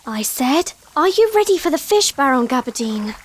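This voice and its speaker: A man voicing a shy, sweet young female seapony in a storybook reading, soft-spoken, gentle and coy. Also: very high voice, sing-song, moderate pace, pitched above the performer's own voice.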